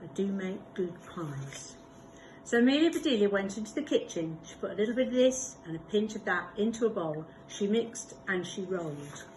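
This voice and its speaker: Expressive woman teacher reading aloud. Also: mid-range voice, fairly quick, few pauses, a little hiss or hum, low-quality recording. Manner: expressive storytelling with asides. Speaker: primary school teacher. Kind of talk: reading a picture book aloud.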